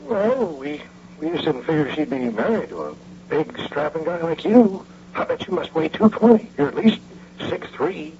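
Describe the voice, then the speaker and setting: An actor playing a flustered, tactless old bank guard in a radio drama, stammering and hesitant in a folksy way. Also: male voice, fairly high pitch, sing-song, normal speed, warm tone. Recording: noisy dull vintage radio broadcast recording.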